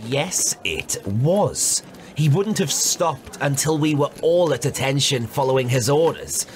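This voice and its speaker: An actor playing an impatient, authoritative soldier leader in a fantasy audio drama, with music behind him. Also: male voice, medium pitch, expressive, a little slow, slight background noise, natural voice. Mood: firm, commanding. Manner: curt, exasperated, authoritative. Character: commanding, impatient young-to-middle-aged male soldier leader. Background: background music.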